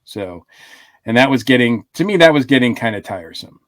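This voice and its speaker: A man's voice: medium pitch, animated, brisk, fairly bright tone, dry recording. A man co-hosting a casual podcast discussion, thinking aloud with many fillers. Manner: thoughtful, hedging with fillers. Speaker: podcast co-host.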